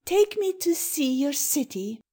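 A serene, imperious queen from a children's tale, performed by a man. The voice is high and swooping, with a slow, regal delivery that never hurries. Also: sing-song, darkish tone, exaggerated voice. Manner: languid, regal, unruffled.

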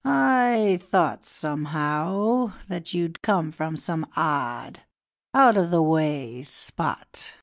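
A pompous, scheming Egyptian priest who speaks slowly and with grand ceremony. A woman voices him in a lowish, unhurried voice. The recording is very dry and close. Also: darkish tone, no room sound. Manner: grand, confiding, measured. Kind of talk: audiobook dialogue reading.

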